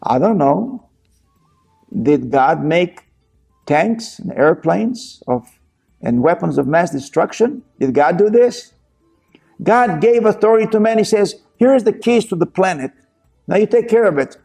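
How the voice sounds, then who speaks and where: Slow, gravelly man from Israel preaching. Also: sits high, expressive, a little mellow, faint music behind. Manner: slow, earnest, reads scripture aloud. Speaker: teacher of scripture. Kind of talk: sermon with scripture reading.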